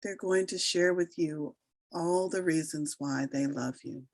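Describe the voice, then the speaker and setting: A woman leading a guided meditation, giving soothing, unhurried instructions with long pauses between phrases. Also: low-pitched, no room sound. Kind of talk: slow guided meditation narration.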